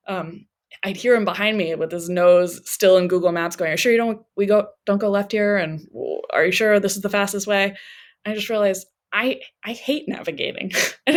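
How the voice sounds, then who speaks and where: A woman speaking as an interview guest, telling personal stories conversationally and voicing remembered remarks as she goes. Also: lowish voice. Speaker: interview guest, travel memoir author.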